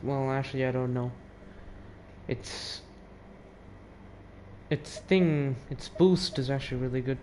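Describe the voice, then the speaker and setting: A teenage male gamer comments casually on play, often muttering and swearing. The voice is mid-pitched, in a home recording with clear background noise. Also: slow pace, long pauses, darkish tone. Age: teens. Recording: noisy home gaming recording.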